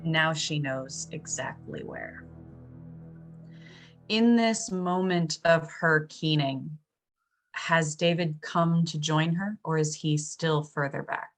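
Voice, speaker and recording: A woman improvises narration as a player in a tabletop game. Her voice is very low for a woman, and she talks very slowly, in a measured and deliberate manner. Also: soft background music. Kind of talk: improvised third-person narration of her character.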